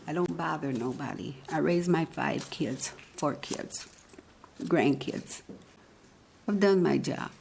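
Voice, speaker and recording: A woman in her eighties, speaking at a council meeting's public comment, halting and earnest as she searches for words. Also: low voice, creaky, darkish tone, slight background noise. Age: 80s. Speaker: resident giving public comment. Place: council chamber podium.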